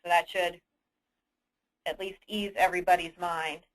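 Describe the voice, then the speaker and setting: A woman hosting a conference call briefing, answering submitted questions in an explanatory, businesslike and somewhat halting manner. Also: fairly low pitch, level tone, low-quality recording. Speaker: host of an insurance agents' conference call. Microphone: telephone conference line.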